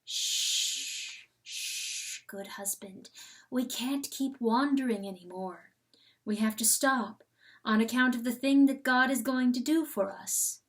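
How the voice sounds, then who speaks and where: A woman voicing a gentle but firm female coyote wife in a storybook reading, soothing in a hushed, whispering manner. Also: on the high side, slow pace, far from the mic.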